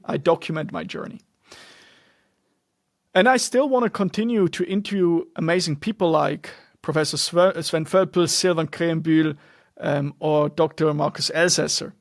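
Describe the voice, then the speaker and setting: A man's voice: fairly high pitch, gravelly, no room sound, studio quality. A young man from Switzerland, speaking English as an in an earnest, loosely structured solo podcast monologue. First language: German. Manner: earnest, loosely structured, frequent restarts and fillers. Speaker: podcast host.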